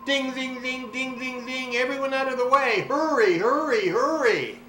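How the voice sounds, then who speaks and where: A man voicing excited, urgent storybook firemen, calling out loudly in a roomy recording. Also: very high voice, normal speed, pitched above the performer's own voice. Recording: roomy echo, clear background noise.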